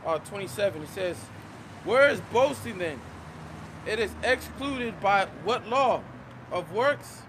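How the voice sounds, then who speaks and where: A man's voice: sits high, lively intonation, a little slow, fairly bright tone. A man on a city street who reads verses aloud emphatically and quizzes the people listening. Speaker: street preacher reading scripture. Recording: street traffic noise.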